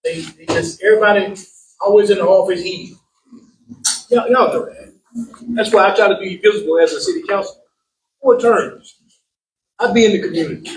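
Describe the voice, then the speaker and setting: A man's voice: high-pitched, brisk, long pauses, slight background noise. A man, a city councilman, speaking up from the audience in a folksy, vernacular way. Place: large room with an audience. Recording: roomy, distant from mic.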